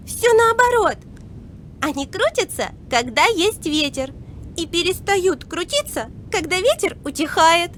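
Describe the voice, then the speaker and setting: High, cheerful cartoon talking encyclopedia. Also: female voice, very animated, takes it slowly, a bit bright, a little hiss or hum, full character voice. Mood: amused, explaining. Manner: friendly, giggly explaining. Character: cheerful, know-it-all talking encyclopedia girl. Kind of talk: children's educational cartoon acting.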